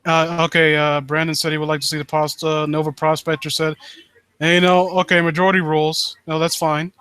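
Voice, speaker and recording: A man with a fairly high, bright voice and a dry, close sound hosts a live stream. He rambles casually, breaking off and restarting his sentences, with a joking, crude streak. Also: flat delivery, smooth, no echo. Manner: rambling, halting, self-interrupting. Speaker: livestream host.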